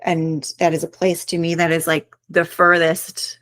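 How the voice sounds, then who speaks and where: A woman with a lowish voice talks as the guest of an interview, reflective and earnest, working her thoughts out aloud. The sound is dry and close, with little room in it. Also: level tone, a little slow, fairly bright tone, no room sound. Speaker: author guest. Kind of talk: interview conversation.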